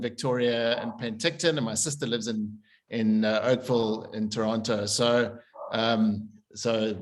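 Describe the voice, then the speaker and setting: A man in his forties from South Africa speaks over a laptop webcam on a video call. He is chatty and easygoing, with frequent fillers and restarts, his pitch sitting mid-range for a man. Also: fairly bright tone. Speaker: winery founder. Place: room with a window onto a vineyard.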